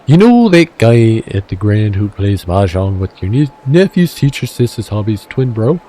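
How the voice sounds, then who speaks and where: A man's voice: lowish voice, very animated, normal speed, dark tone. A man voicing a brash, wheeling-dealing male fixer with a shaky attempt at a Minnesotan accent, pushy and threatening on the phone. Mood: bullying.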